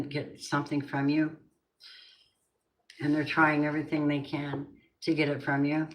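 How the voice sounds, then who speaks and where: An older woman doing a live tarot reading, chatty and rambling, warmly calling her viewers babies. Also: deep voice. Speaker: tarot reader. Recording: noisy home stream with clicks.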